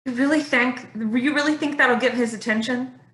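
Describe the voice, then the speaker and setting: Actress as blunt female kidnapper, stage drama. Highish, sharp voice, echoey room. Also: moderate pace. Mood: doubting. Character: brash, blunt mother joining a kidnapping crew. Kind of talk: staged play reading.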